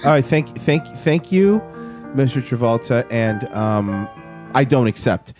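A man's voice: mid-range voice, expressive, unhurried, darkish tone, soft background music. A man co-hosting a podcast, talking in casual, teasing banter that easily turns exasperated. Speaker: podcast co-host.

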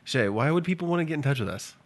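A man's voice: on the high side, expressive, racing. A man co-hosting a casual podcast, dry and teasing, swearing freely. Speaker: podcast co-host.

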